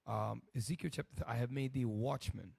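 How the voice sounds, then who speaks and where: A man, hoarse today, hosting a loose, rambling preaching commentary. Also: medium pitch, fairly even intonation, quick pace, darkish tone, no room sound, clean studio sound. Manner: loose and rambling, many restarts. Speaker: host.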